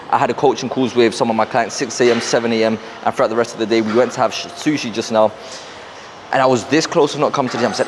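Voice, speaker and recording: A young man with a London accent, talking fast and rambling to camera in a casual motivational vlog, wearing a clip-on mic in a gym. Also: mid-range voice, background noise. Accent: London English. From South London, England. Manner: fast, rambling, repeats himself. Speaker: fitness and mindset coach. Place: busy gym.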